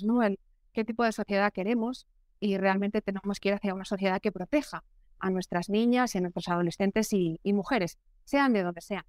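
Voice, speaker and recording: Earnest woman, smooth clear voice. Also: mid-range voice, darkish tone, no echo. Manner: measured, earnest, explanatory. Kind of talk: interview answer.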